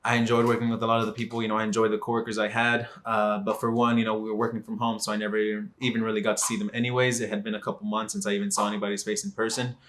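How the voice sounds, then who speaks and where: A man's voice: on the low side, flat delivery, rapid-fire, hardly any pauses, a bit bright, slight background noise. A man in his twenties from Texas, talking casually to camera and rambling with many fillers and restarts. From Dallas, Texas. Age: 20s. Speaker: vlogger.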